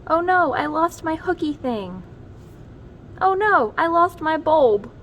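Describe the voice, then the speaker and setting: Woman doing squealy, excitable little crayons, high-pitched. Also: takes it slowly, slight background noise, exaggerated voice. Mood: excited. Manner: exclaiming, dramatic.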